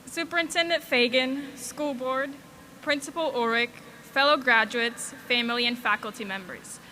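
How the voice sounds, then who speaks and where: Bright high young woman from Switzerland. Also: normal speed, a little hiss or hum. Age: young adult. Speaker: valedictorian. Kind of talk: graduation speech.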